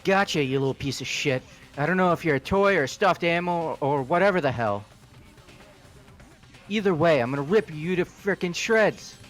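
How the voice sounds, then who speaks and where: A man's voice: on the high side, lively intonation, moderate pace, soft background music, close to an everyday voice. A man playing a hot-headed, foul-mouthed young delinquent in a fan game dub, aggressive and blunt. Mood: furious. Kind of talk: fan voice acting of a video game.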